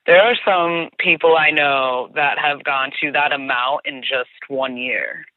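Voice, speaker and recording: A woman's voice: low-pitched, steady tone, unhurried, no room sound. Woman guest, New Jersey accent, rambling and upbeat, recorded over a tinny phone line. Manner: chatty, restarts often, says "like" a lot. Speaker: guest, tour guide. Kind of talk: casual podcast chat.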